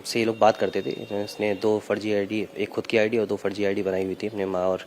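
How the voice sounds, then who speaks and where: A man with a fairly low voice gives an official, measured briefing, pausing as he explains. There is faint ambient noise behind him. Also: little pitch movement, a little mellow. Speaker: police official. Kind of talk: press briefing, unscripted explanation.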